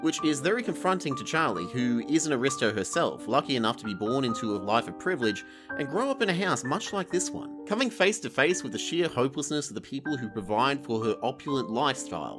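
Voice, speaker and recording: Australian man, animated intonation, wry and blunt, colloquial review narration, music underneath. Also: medium pitch. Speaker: video essay reviewer. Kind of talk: scripted review narration with informal asides. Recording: music behind the voice. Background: background music.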